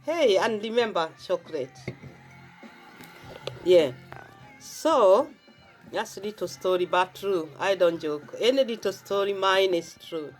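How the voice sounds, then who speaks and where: A woman's voice: mid-range voice, expressive, a little slow, long pauses, faint music behind. Kenyan woman in a casual faith talk. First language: Swahili. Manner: repeats phrases, explains herself at length. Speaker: vlogger sharing faith. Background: faint gospel song playing.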